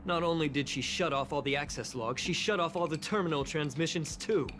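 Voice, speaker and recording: An actor playing an excitable young space station investigator who puzzles aloud in scripted game dialogue. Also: mid-range voice, fairly quick, noisy background, close to an everyday voice. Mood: puzzled, uneasy. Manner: animated, pondering aloud. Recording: background music under dialogue.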